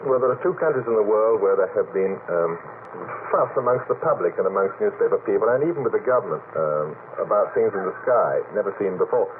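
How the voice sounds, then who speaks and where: A man's voice: on the low side, animated, quick pace. A man speaking as a radio panel guest, discursive and formally worded in conversation. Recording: tinny old radio broadcast, faint noise.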